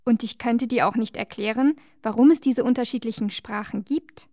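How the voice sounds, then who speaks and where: A woman co-hosting a children's show, explaining things cheerfully and gently teasing her partner. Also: a little high, normal speed, dry recording, clean studio sound. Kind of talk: scripted children's educational dialogue.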